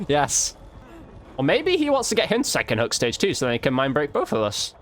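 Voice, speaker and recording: Higher-pitched man doing casual gaming commentary. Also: huge pitch swings, brisk. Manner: chatty, informal, addresses viewers as guys. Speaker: gaming video host and player.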